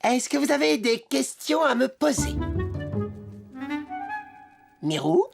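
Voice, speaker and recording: Lively, eager cartoon toy-town friend. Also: female voice, sits high, slow pace, vocal fry, over music, heavily acted. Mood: earnest. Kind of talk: French cartoon dubbing.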